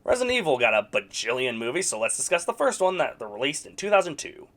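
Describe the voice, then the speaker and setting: Male film reviewer, dry sarcastic humor, very animated intonation, medium pitch. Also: fast talker, over loud music. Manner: wry and sarcastic, with mock-exasperated outbursts. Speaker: video game movie reviewer. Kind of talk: scripted casual review voice-over.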